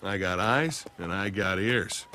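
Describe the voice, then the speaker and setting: A man voicing a kindly folksy coach with a put-on rural American drawl, gently coaxing. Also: low voice, unhurried, background noise. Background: music.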